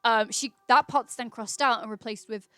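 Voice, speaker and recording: A woman's voice: a little high, fairly even intonation, normal speed, fairly bright tone, smooth, dry recording, faint music behind. A woman hosting a casual livestream, reading aloud in an informal, chatty way. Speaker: livestream host. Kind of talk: casual livestream read-aloud with chat replies.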